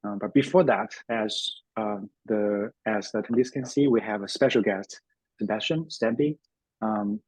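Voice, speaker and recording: A man's voice: fairly low pitch, normal speed, a little mellow, breathy edge, no echo, rough recording. A man hosting a tech webinar as a developer advocate, speaking casually with lots of fillers and restarts. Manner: hesitant, many fillers and restarts.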